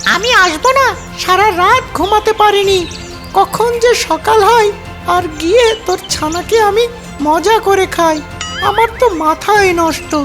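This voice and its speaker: Very high-pitched greedy cartoon fox. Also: a little slow. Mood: hungry, eager. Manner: gloating, blustering, scornful. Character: sly greedy bullying fox villain. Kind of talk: children's cartoon story character acting. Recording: loud music behind.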